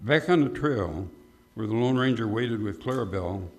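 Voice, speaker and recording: A man's voice: lowish voice, animated, moderate pace. A man narrating a scripted Western adventure in the style of an old-time radio drama. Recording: clearly noisy background.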